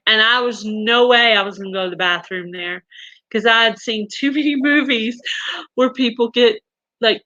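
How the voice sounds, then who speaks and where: Chatty Texan woman, bright dry voice. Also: mid-range voice, no room sound, lo-fi sound. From Texas. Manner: chatty storytelling with fillers and restarts. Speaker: live stream host. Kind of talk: casual chat reading viewer comments.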